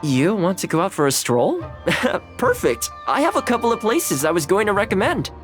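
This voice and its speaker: An actor playing a friendly, easygoing young male retainer in a video game, talking in a relaxed, chatty way. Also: high voice, normal speed, music in the background. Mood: cheerful. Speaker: game character.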